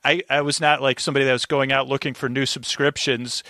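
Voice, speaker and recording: Bright-voiced, rambling male podcast guest. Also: mid-range voice, little pitch movement, fast, no room sound, studio quality. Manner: rambling, thinking aloud. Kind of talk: casual chat.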